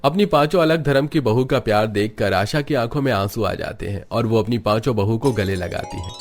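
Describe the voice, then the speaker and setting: A man's voice: lowish voice, brisk, faint music behind. A man reading scripted narration for a cartoon family story as its narrator.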